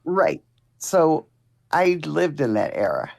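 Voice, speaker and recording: An older woman in her sixties calls in with a notably low voice. She sounds stubborn and defensive, digs in on her points and keeps talking over the host. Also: sing-song, slow pace, vocal fry, darkish tone. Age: 60s. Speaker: caller. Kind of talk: call-in argument.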